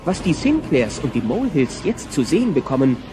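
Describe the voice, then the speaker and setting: A man's voice: fairly high pitch, very animated, a little fast. A man playing the dramatic narrator of a cartoon audio drama, reading scripted storytelling. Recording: music behind the narration.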